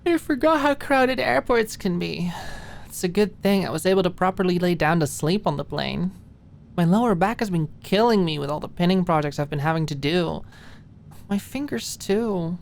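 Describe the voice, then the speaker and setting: A woman's voice: lowish voice, animated, moderate pace, gravelly, a little hiss or hum, pitched above the performer's own voice. A woman voicing a friendly, giggly young woman with a playful, chatty manner in an audiobook. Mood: weary complaining. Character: Maud, friendly giggly young woman, tired traveler, teasing. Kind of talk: audiobook character dialogue.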